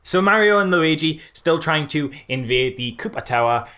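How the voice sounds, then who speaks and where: A man's voice: fairly high pitch, animated, normal speed, bright, forceful tone. A man co-hosting a casual podcast, reviewing a film with a co-host, laughing often and firing incredulous questions.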